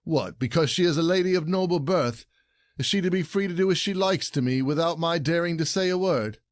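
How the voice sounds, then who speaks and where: An aggrieved husband in a classic farce, acted by a man, with a fairly high voice and a dry, close sound. He declaims his grievances formally, indignant and scheming. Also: fairly quick, dry recording, close to an everyday voice. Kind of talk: classic comedy audio play acting.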